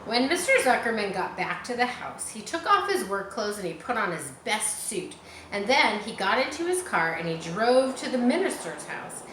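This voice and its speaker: A woman's voice: fairly high pitch, sing-song, moderate pace, a bit bright. A woman reading a children's book aloud, dropping in informal asides. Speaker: storybook reader. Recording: roomy echo, faint background noise.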